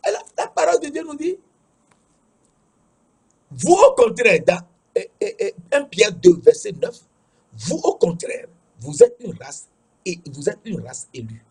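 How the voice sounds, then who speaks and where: Husky West African French preacher, male. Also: sits high, huge pitch swings, measured pace, pauses often, darkish tone. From Togo. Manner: emphatic, repeats key phrases. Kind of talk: sermon.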